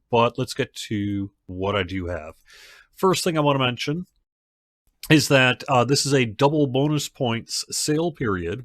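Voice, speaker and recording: A man's voice: mid-range voice, a bit bright, no echo, studio quality. A man hosting a game livestream as community manager, talking casually through news updates with fillers and restarts, a little stuffy from a cold. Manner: conversational, with frequent fillers and restarts.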